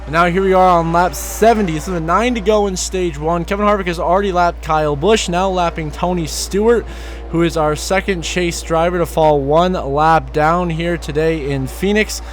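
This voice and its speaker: A young man with a high voice gone hoarse calls a sim race play-by-play, lifting into excited shouts when cars crash or swap the lead. A faint hum sits behind him. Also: normal speed. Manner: excited rising calls during crashes and passes. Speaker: sim racing commentator.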